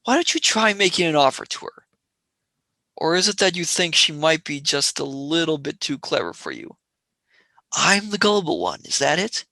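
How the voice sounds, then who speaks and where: A sardonic young male space traveller, acted by a voice actor in a radio play. The pitch swings widely and the tone is bright. The sound is dry and close to the mic. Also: very animated, normal speed, creaky, no room sound, full character voice. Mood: wry. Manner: sarcastic, wheedling.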